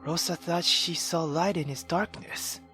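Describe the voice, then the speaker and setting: A man doing excitable, slangy let's play commentary and dramatic read-aloud narration of a visual novel. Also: a little high, unhurried, over music. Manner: theatrical, slangy, exclamatory.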